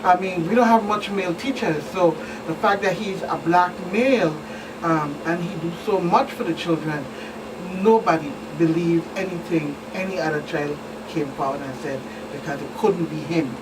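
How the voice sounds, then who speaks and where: A woman's voice: on the low side, moderate pace. A woman with a Virgin Islands Caribbean accent speaks emphatically as a guest expert in a talk show interview, repeating phrases as she recounts cases. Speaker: police commander, guest expert. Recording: distant mic, noisy room hum.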